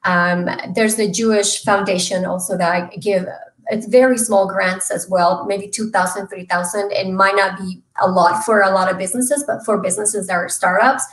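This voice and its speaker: A woman's voice: medium pitch, steady tone, normal speed. Earnest woman from Mexico on a video call. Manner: earnest, with many fillers and restarts. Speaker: guest, small business loan expert. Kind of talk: casual interview chat. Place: home room. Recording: roomy video call audio.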